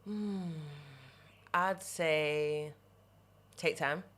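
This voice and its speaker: Deep-voiced young woman radio presenter. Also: extremely slow. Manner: animated, laughs often, drops into whispers. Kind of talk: casual podcast chat. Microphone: headset.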